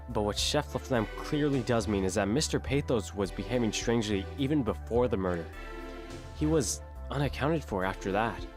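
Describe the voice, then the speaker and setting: A man's voice: fairly low pitch, close to an everyday voice. A man playing an earnest, dogged defense lawyer in an audio drama, questioning and a little sheepish. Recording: music behind the voice.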